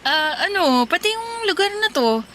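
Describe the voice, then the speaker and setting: A woman's voice: extremely high pitch, very animated, moderate pace, bright, forceful tone, a little hiss or hum, heavily acted. An actress in a Tagalog radio drama playing a playful, teasing young city woman with a breezy, giggly manner. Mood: warm, slightly awkward. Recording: music playing behind the voice.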